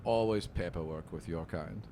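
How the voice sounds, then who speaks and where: Guy doing a fussy watchful inquisitor for a tabletop game, lowish voice, officious n hesitant, bit of noise behind. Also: normal speed, mellow. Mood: officious, wary. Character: fussy bureaucratic male inquisitor, watchful, matter-of-fact.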